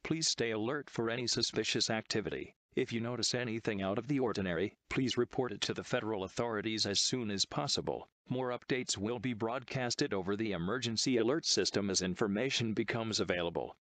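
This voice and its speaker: Low synthetic male emergency announcer. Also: a bit bright, no echo. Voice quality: synthetic text-to-speech voice. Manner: formal, impersonal, measured delivery. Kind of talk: scripted emergency broadcast announcement.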